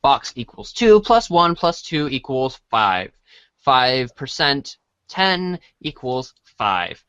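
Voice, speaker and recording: A man's voice: medium pitch, big pitch swings, takes it slowly, a bit bright, clean tone, no echo. Dry-sounding male storybook narrator. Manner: informal, stumbles while reading. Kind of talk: read-along storybook narration of a comic. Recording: dry, dull band-limited audio.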